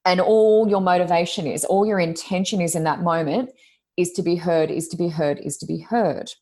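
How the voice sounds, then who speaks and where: A woman, co-hosting a casual talk podcast, speaking loudly and expressively as she reflects on her own experience. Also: lowish voice, normal speed. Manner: loud, expressive, self-reflective. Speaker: podcast co-host, coach. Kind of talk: casual chat.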